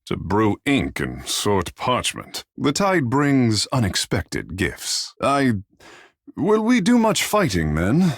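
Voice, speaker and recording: An actor playing a flamboyant, self-admiring godlike water shaper in a fantasy video game, speaking in a grandiose, playful, theatrical manner. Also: male voice, medium pitch, huge pitch swings, slow pace, no room sound, studio quality, heavily acted. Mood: haughty, playful.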